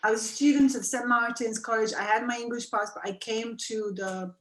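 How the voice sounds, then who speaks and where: A woman's voice: on the high side, roomy sound. A woman from Serbia, an artist, talking eagerly in a casual conversation over a video call. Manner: excitable, quick to jump in.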